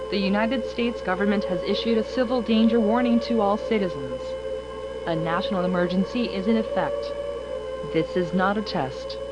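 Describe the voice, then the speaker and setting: A woman reading a scripted emergency broadcast warning in a formal, impersonal, measured way. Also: mid-range voice. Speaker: emergency alert announcer. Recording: dull lo-fi broadcast with faint noise.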